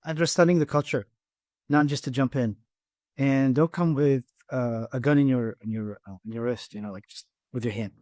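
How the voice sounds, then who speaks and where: A middle-aged man with an Iraqi Arabic accent, a guest on a podcast interview, talking haltingly with many restarts and repeated words. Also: medium pitch, steady tone, darkish tone, no echo, clean studio sound. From Iraq. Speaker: guest, engineering firm president.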